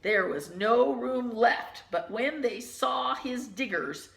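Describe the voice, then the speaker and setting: A woman teacher reading a children's picture book aloud, gently pausing to point out the pictures in hushed asides. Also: a little high, animated, vocal fry, reverberant.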